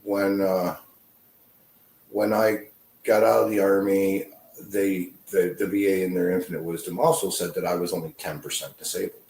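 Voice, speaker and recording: A man's voice: low-pitched, flat delivery, normal speed, darkish tone, roomy sound, poor audio. A man in his fifties, a veteran guest talking casually in a podcast with a rambling, self-deprecating manner and many fillers.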